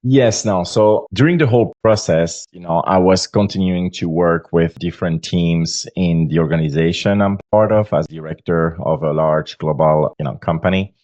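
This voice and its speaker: Man, 40s, Belgian accent, very deep voice, earnest, halting with fillers, dry muffled sound. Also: darkish tone, no echo. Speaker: guest author. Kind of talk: podcast interview.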